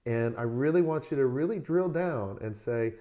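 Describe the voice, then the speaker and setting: A man hosting a solo advice podcast, his voice still hoarse as it recovers, coaching listeners in an upbeat, direct way. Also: mid-range voice, lively intonation, moderate pace, dark tone. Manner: upbeat motivational coaching, talks straight to listeners. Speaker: podcast host.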